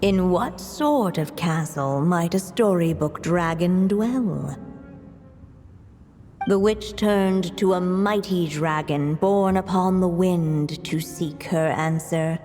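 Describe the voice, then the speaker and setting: A woman's voice: fairly low pitch, takes it slowly, mellow. A woman playing a solemn, mysterious storybook narrator in a video game. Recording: long hall reverb, music behind.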